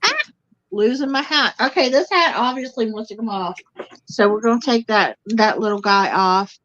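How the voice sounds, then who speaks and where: A woman's voice: a little high, moderate pace, fairly bright tone, no room sound. A woman guest in a casual spiritual chat, talking animatedly, repeating words for emphasis and speaking straight to the viewers. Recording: dull lo-fi sound, dry.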